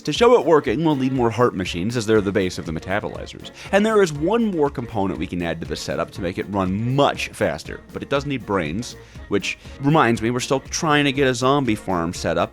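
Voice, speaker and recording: A man narrating gameplay from a script in a wry manner, with playfully exaggerated complaints. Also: on the low side. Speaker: video creator, gamer.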